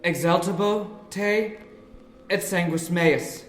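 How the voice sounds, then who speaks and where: A man's voice: high-pitched, takes it slowly. An actor playing an earnest, deferential young man being initiated into a secret order in an audio drama. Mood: reverent, solemn. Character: earnest young initiate, obedient son of cult leader. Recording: echoey room with faint noise.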